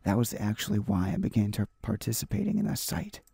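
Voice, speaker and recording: A man with a mellow, creaky voice reads a visual novel aloud as a gaming host. His delivery is halting, with stumbles and repeated phrases. The sound is close and dry. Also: fairly low pitch, sing-song, no echo. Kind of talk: reading a visual novel aloud for a let's play.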